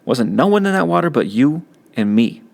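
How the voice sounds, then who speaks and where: A man's voice: mid-range voice, big pitch swings, moderate pace, a little mellow, pitched above the performer's own voice. Man playing a blunt, caring teenage big brother. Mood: scolding. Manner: blunt, slangy, gruffly reassuring. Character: tough but caring adolescent big brother. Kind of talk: audiobook character acting.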